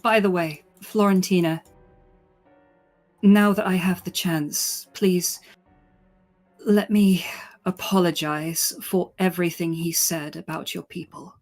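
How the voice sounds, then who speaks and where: Woman doing a gracious earnest noble lady for a tabletop game, slow and low, formal and apologetic. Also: steady tone, darkish tone, faint music behind. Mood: sincere, apologetic.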